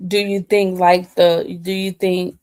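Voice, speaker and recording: Woman, American accent, casual co-host chat, slightly low pitch, dry close sound, halting phrasing. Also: level tone, a little mellow, no echo.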